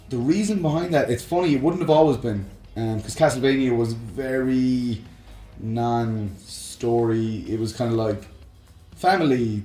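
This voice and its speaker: A man's voice: mid-range voice, a little mellow, roomy sound. A man co-hosting a casual discussion show, explaining things in a rambling, enthusiastic way. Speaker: co-host of a film and games discussion show. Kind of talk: casual banter and opinionated chat. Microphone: close microphone on a stand.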